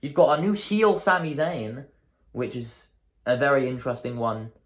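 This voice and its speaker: A hoarse man hosting his own show, chatting casually and thinking aloud, often changing his mind mid-sentence. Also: medium pitch, lively intonation, moderate pace, reverberant. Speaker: host. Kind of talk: casual solo commentary.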